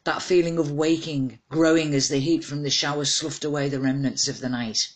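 A woman's voice: sits low, fairly even intonation, normal speed. A woman narrating a scripted short story as its author, in a wry, dramatised reading.

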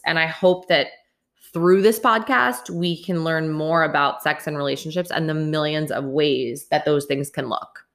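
A woman's voice: deep voice, a bit bright. A woman in her late twenties hosting a podcast, talking earnestly and candidly, with some restarts. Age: 20s. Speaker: podcast host. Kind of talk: solo podcast monologue.

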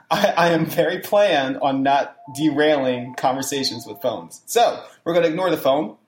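A young adult podcast host in casual chat, lively and full of "like", slipping into mocking quoted voices. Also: mid-range voice, moderate pace, soft background music. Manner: animated, says "like" a lot, does mocking quoted voices. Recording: echoey room.

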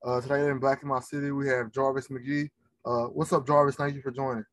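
A man hosting a podcast, asking questions in a relaxed, informal way. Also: medium pitch, brisk, very noisy. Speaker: podcast host. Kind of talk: casual interview.

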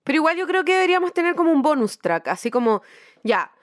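A woman's voice: sits high, very animated, fast talker. A woman with a Chilean accent co-hosting a podcast in a casual, colloquial, slangy chat. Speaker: podcast co-host.

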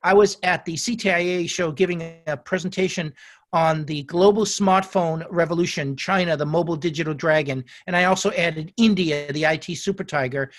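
A man's voice: a little high, a bit bright. Chatty man telling career stories. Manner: storytelling, self-correcting restarts. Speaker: guest. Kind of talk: interview answers.

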